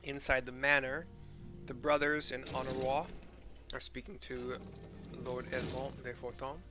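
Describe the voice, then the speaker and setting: A man giving casual, rambling gameplay commentary and narration in an informal way, with a gravelly voice. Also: mid-range voice, flat delivery, measured pace. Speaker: game streamer reading quest dialogue aloud. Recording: game music behind voice.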